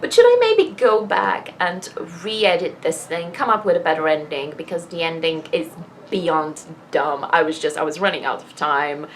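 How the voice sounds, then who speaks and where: A woman's voice: low-pitched, very animated, moderate pace. Candid woman vlogger over background noise. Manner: candid, self-deprecating, rambling with emphatic asides. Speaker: vlogger and fiction writer. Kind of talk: casual personal vlog chat.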